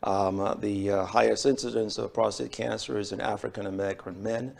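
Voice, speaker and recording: Gravelly-voiced man with a foreign accent. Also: fairly low pitch, fairly even intonation, a little mellow. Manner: measured, formal, frequent "uh" pauses. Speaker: urologist guest. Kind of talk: calm medical explanation.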